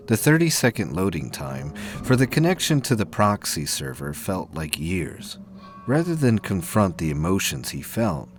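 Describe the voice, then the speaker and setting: A man narrating a science fiction audio drama in a solemn, measured, dramatic storytelling style. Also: sits low.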